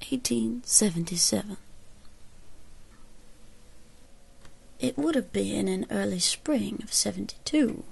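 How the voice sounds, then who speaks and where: A woman playing a grim elderly frontier woman with a Southern American accent, giving a deliberate, folksy first-person account in an audio drama. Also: medium pitch, creaky, husky, full character voice. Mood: grave, haunted. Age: older.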